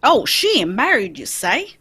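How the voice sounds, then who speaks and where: Woman playing a high-voiced, confident male detective. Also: huge pitch swings, measured pace, bright, forceful tone, slightly rough, exaggerated voice. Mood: chummy. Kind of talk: old-time radio drama script read live.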